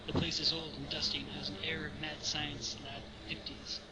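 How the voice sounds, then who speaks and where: A man's voice: fairly high pitch, little pitch movement, moderate pace. A man narrating stage directions in an amateur read-aloud among friends. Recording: very noisy home voice-chat recording.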